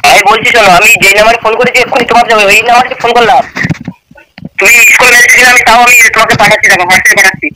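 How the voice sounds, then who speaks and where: A man's voice: very high-pitched, steady tone, very fast. A man with a Bengali accent in an argumentative phone conversation, insistent and repeating himself, on a noisy recording. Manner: insistent, repetitive. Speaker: prank caller.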